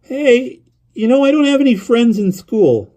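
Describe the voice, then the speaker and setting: A man acts out a lonely little boy in a storybook reading. The voice sits well above an adult man's and bounces around in pitch. He is eager and guileless, rambling on and asking questions in a childlike way. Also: lively intonation, measured pace, warm tone. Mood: lonely, hopeful. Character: lonely, eager, stunned young boy on the phone.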